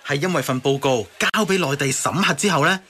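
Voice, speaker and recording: A man's voice: on the high side, brisk, pushed and bright, slightly rough. A man playing a contrary character who corrects others and explains in a radio drama.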